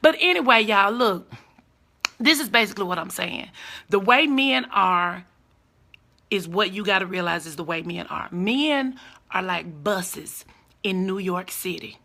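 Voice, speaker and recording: Blunt, sassy woman in her forties, hoarse but bright-toned, live-streaming advice from her phone. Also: mid-range voice, animated, measured pace. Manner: blunt, sassy, talks straight to viewers.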